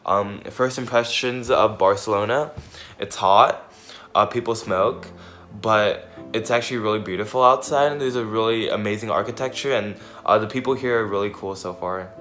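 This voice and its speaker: A man's voice: on the low side, moderate pace. Young guy from california chatting into his phone in a tiny dorm, easygoing, music under it. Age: young adult. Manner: relaxed, laid-back with fillers. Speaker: vlogger. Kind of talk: casual vlog narration. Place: small dorm room. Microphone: phone held at arm's length.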